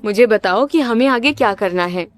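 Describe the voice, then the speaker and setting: A woman as a high-voiced, teasing young heroine. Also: lively intonation, moderate pace, soft background music, lightly acted. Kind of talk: dubbed video game cutscene acting.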